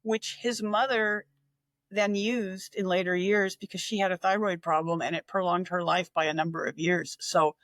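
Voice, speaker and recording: A woman's voice: lowish voice, fairly even intonation, moderate pace, a bit bright, dry recording. A woman in her sixties, a regular contributor, telling a history story in a chatty, enthusiastic way. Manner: enthusiastic, chatty, with fillers and restarts. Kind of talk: casual storytelling.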